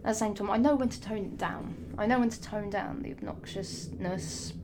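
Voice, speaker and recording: A young woman, her voice hoarse, rambling sassily to camera with frequent swearing and restarts. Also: mid-range voice, normal speed, background noise. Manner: rambling, sassy, swears often, many restarts. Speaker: vlogger. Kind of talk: rambling casual monologue.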